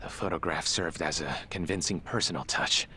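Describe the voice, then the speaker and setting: A man's voice: deep voice, pushed and bright, a little hiss or hum. An actor playing a formal, guarded male medical examiner in a video game drama, choosing his words with care. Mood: composed, formal. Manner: formal, measured diction, falters when pressed. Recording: music behind the voice.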